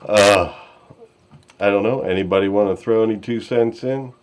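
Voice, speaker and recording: A man's voice: lowish voice, normal speed. A man casually hosting an online discussion show in a rambling, easygoing way, speaking off the cuff. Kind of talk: casual unscripted hosting and chat.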